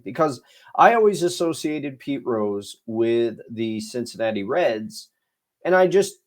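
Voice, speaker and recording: A young man co-hosting a podcast, talking in an easygoing, emphatic way and laughing along. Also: medium pitch. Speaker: podcast co-host. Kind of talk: casual podcast chat and review.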